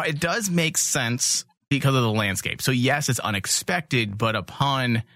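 A man's voice: mid-range voice, sharp tone, dry recording. Casual, emphatic male podcast co-host, dry sound. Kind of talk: casual fan podcast chat.

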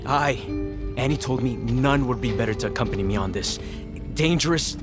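A man plays an idealistic young highland prince in a fantasy audio drama. He speaks in halting restarts, earnest and easily wounded, with music running prominently underneath. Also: close to an everyday voice. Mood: urgent pleading. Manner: halting, stammering over restarts. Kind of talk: dramatic audio fiction acting.

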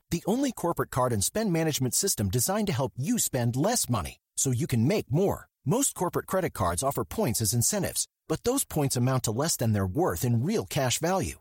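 Man, scripted ad read, husky, animated intonation, close dry recording. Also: medium pitch, no room sound. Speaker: ad reader. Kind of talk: scripted podcast advertisement.

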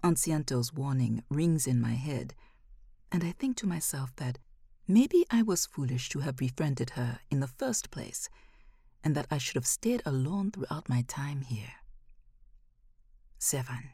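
A woman's voice: very deep voice, expressive, measured pace, mellow, husky, no room sound, clean studio sound. A woman reading a first-person short story as scripted narration.

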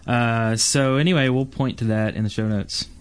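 Male podcast co-host, medium-pitched, relaxed conversational delivery about games. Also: normal speed, smooth. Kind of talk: casual gaming podcast chat.

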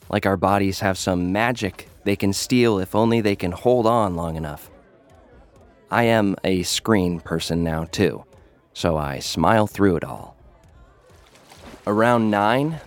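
A young American online celebrity fighter tells his own story in a low register. He is cocky and jaded, with a wry, conversational delivery. Music plays faintly underneath this audio drama narration. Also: animated, a little slow. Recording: music bed behind the voice.

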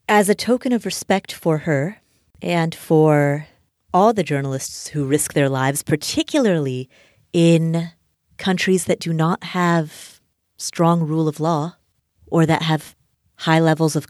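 A woman hosting a podcast, from Nepal, speaking in an earnest, measured way. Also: low voice, a little mellow, no room sound, studio quality. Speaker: podcast host. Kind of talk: podcast talk and ad read.

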